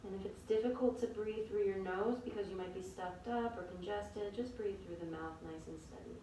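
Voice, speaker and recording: A woman teaching a yoga class, calmly guiding breath and movement step by step. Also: medium pitch, moderate pace. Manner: calm, gentle cueing of breath and movement. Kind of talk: guided yoga instruction. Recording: very echoey room, distant mic, faint noise.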